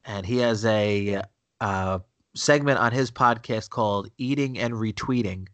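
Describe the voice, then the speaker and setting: Man, stuffy with a cold, low and dry; blunt, opinionated co-host. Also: unhurried, no echo. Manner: blunt, opinionated, repeats himself. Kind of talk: casual chat. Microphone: remote call connection.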